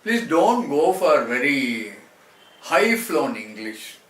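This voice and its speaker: A man from Karnataka with a South Indian accent and Telugu as his first language, rambling to viewers about his own life in a halting way with repeated phrases. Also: fairly high pitch, very animated, fairly bright tone. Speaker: translator and former film actor. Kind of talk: rambling autobiographical talk to viewers. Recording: roomy, distant mic, faint background noise.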